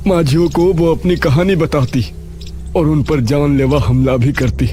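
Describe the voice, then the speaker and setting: A man's voice: a little high, unhurried, natural voice. A man voicing a grave village headman recounting a haunting in a horror story, over music.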